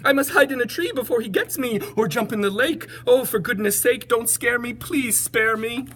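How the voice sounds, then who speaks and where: A woman's voice: fairly high pitch, normal speed, voice pushed up, natural voice. A woman voicing a big, apologetic and easily frightened male elephant in a rhyming storybook read-aloud. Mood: panicked. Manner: rhyming, fretful, dramatic. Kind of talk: animated picture-book read-aloud.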